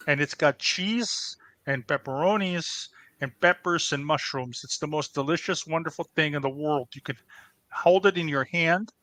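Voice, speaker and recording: A man's voice: on the high side, sharp tone, no room sound. A man playing a blustering, defensive small-time crook and pizzeria owner with a Chicago accent, in improvised comedy banter. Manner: blustering, defensive, repeats himself, wiseguy phrasing.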